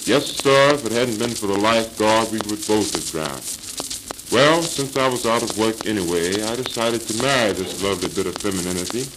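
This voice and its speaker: A hapless, henpecked husband played by a man lectures with mock-earnest theatrical delivery in an old-time comic monologue. The voice is fairly low, under the clear crackle and hiss of a 1930s 78 rpm record. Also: brisk, natural voice. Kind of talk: early 20th century comic monologue.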